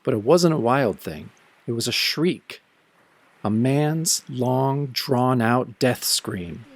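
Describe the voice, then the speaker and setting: A wry, world-weary man played by a male actor tells his own werewolf tale in the manner of an old radio horror show. His delivery is dramatic and brooding, with a sardonic edge, at a middling male pitch. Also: no echo. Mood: haunted. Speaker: first-person narrator of an audio drama.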